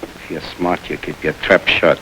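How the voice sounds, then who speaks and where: A low-voiced hoodlum with a gruff threatening growl, 1940s radio drama, faint hiss on the old recording. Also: male voice, flat delivery, unhurried, bright, forceful tone, exaggerated voice, low-quality recording. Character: menacing male gangster robber, tough. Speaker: stickup man.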